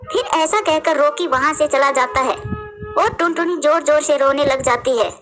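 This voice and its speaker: Female narrator for children's animated tale, high-pitched and bright, faint music bed. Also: moderate pace. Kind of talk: storybook cartoon narration.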